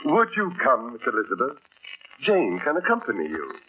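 A man's voice: medium pitch, wildly swooping pitch, normal speed. An actor playing a pompous, fawning and oblivious English clergyman cousin, gushing in 1940s radio drama style. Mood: self-important. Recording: tinny, noisy old radio broadcast.

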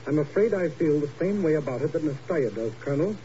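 Mellow, highish-voiced doubting doctor played by a man. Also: animated, fast talker, natural voice. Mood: sceptical. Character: polite but reluctant, doubting male hospital doctor. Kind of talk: 1950s radio drama acting. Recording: muffled, hissy old radio recording.

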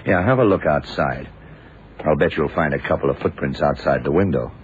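An actor playing a shrewd, laconic detective in an old radio drama, deliberate and probing in manner. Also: male voice, extremely low pitch. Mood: pondering. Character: shrewd, laconic male detective puzzling out a murder. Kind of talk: 1960s-70s radio drama acting. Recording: muffled, noisy old radio recording.

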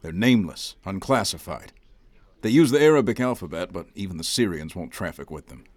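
A man's voice: low voice, expressive, brisk, no echo. A man playing a condescending, officious federal agent in a radio drama.

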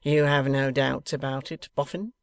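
A man's voice: medium pitch, fairly even intonation, normal speed, gravelly, dry recording, lightly acted. A man voicing an impudent, bullying rogue from a Victorian novel, contemptuous and blustering in a colloquial, grasping manner. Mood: smug. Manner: contemptuous, blustering, colloquial Cockney turns of phrase. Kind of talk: dramatised audiobook character voice.

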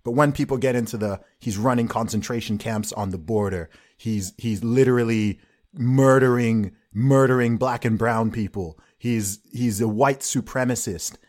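A man's voice: mid-range voice, normal speed, darkish tone. A man hosting an interview, talking casually with loose phrasing and many restarts. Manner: loose, filler-heavy talk with repeated restarts. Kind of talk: casual conversation.

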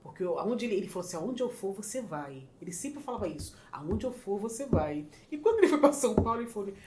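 A middle-aged woman from Rio with a Carioca accent, chatting as a podcast guest, lively and quick to laugh. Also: mid-range voice. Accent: Carioca Brazilian Portuguese. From Rio de Janeiro, Brazil. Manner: lively, animated, laughs often. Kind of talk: casual storytelling chat. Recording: roomy echo with faint noise.

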